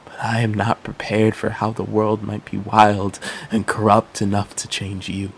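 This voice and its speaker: A man performing a poetic monologue as an earnest, troubled would-be father, speaking in a measured, reflective way. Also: on the low side, completely flat delivery, creaky, slight background noise, poor audio. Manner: measured, reflective, spoken-word delivery.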